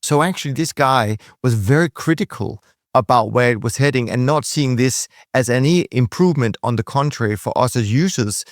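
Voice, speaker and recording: A man's voice: mid-range voice, lively intonation, moderate pace, dry recording, clean studio sound. Danish-accented male podcast host. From Denmark. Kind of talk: conversational interview.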